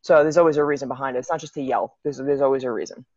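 A woman's voice: extremely low pitch, fairly even intonation, very fast, a little mellow, no room sound. A young adult woman, a city EMT and fitness coach, chatting casually and often restarting her sentences. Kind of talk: casual podcast conversation. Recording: dull lo-fi, dry sound.

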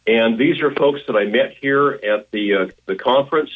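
Husky-voiced male radio host. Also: mid-range voice, normal speed, phone-quality sound. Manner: friendly, rambling with fillers. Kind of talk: casual radio chat. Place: hotel room studio.

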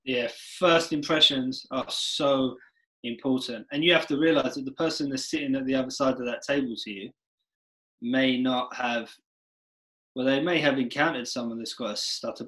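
A man hosting an interview podcast, talking earnestly and with emphasis, speeding up and slowing down within phrases. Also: mid-range voice, fairly even intonation, a bit bright, low-quality recording. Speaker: podcast host. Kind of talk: interview chat.

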